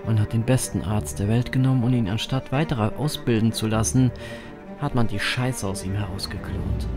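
Grim reaper antihero played by a man, lowish voice, terse and wry, horror audio drama over prominent music. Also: close to an everyday voice. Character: grim male ferryman reaper, world-weary, streetwise. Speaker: narrator and lead of an audio drama. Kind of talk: first-person horror audio drama narration.

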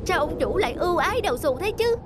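A woman voicing envious, grumbling young sled dogs in an animated cartoon, complaining and exclaiming. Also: extremely high pitch, fast talker, very noisy. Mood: envious, striving. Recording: music under the dialogue.

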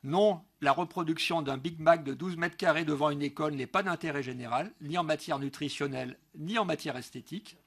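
A man's voice: a little high, a little fast, few pauses, fairly bright tone, breathy edge. A middle-aged man delivering a prepared political speech at a council meeting in a formal, emphatic manner, stumbling now and then as he reads. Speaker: local elected councillor. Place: large council meeting room, indoors. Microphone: gooseneck desk microphone.

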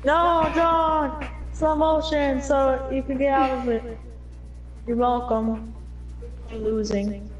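A woman with a high voice chats over a headset while racing in a game with friends. She drawls, then bursts into shouts and laughter. Game music plays loudly behind her. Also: fairly even intonation, slow pace, long pauses, muffled recording. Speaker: gamer streaming with friends. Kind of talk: excited gameplay chat with teammates.

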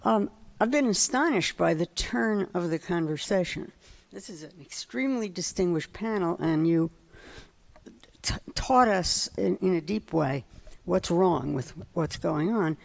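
A woman in the audience of a conference hall, speaking earnestly and haltingly into a handheld microphone. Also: lowish voice, lively intonation, creaky. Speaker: audience member asking a question. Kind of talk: audience question at a panel. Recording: dull audio in a large reverberant hall.

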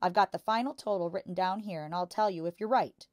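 A woman voicing a boastful, bullying father who deals in crooked cars, in a loud, hectoring manner for a storybook. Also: lowish voice, quick pace, no room sound, studio quality, close to an everyday voice.

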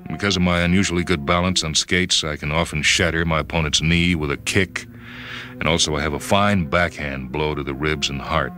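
A man reading a first-person story dramatically, in a brooding, measured manner. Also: a bass voice, steady tone, pushed and bright. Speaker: story reader. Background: background music.

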